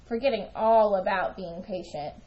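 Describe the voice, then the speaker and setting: A woman narrating a children's picture book aloud in an expressive storytelling style. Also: mid-range voice, moderate pace, muffled recording. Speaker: storybook reader.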